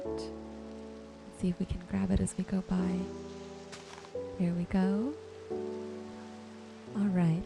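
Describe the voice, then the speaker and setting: Female ASMR gaming narrator, soothing and slow-paced, medium pitch, with music and rain clearly behind her. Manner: gentle, soothing, unhurried narration. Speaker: gaming video creator. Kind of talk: ASMR gameplay commentary. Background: music and rain sounds.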